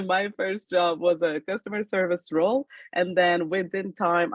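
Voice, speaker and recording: A woman's voice: low-pitched, dry recording. Upbeat woman recruiter on a muffled call. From Portugal. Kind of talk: webinar presentation.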